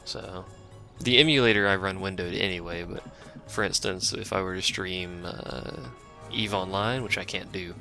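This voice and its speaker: A man with a deep, gravelly voice and a bright edge, chatting loosely over a game he is playing. He rambles and shrugs things off, with self-mocking asides to his stream chat. Faint game music sits underneath. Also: moderate pace. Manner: rambling, offhand, self-deprecating; chats with a live stream chat. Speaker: let's play streamer. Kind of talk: casual gameplay commentary.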